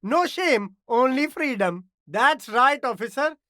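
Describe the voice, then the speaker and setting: Casting: high-voiced game street hustler, slick, eager, swooping delivery, flattering slangy patter, dry close sound. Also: takes it slowly, no echo, exaggerated voice. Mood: smooth, flattering. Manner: salesman's patter, flattering, laid-back slang. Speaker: street vendor character in a video game. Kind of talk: video game character dialogue.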